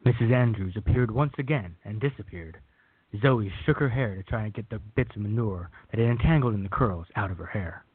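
A man with a husky voice reading scripted narration for a radio drama. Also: on the low side, brisk, dry recording. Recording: thin phone-like band.

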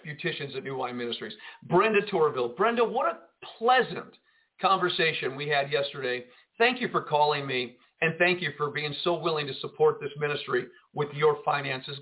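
A man, a pastor and radio host, preaching fervently and greeting listeners on a live broadcast, swinging between loud emphasis and quieter asides. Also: on the high side. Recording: tinny phone-line audio.

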